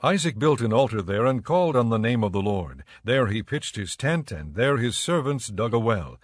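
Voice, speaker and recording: A man narrating scripture in a measured, formal, scripted style. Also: mid-range voice, sing-song, dry recording, clean studio sound.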